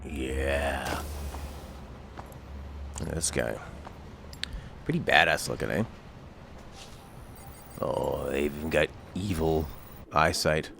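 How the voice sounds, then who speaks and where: A man casually commenting while streaming a video game, chatty and breaking into sudden excited exclamations. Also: very deep voice, slow pace, creaky, pauses often, background noise. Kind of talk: casual let's-play commentary. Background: game music and sound.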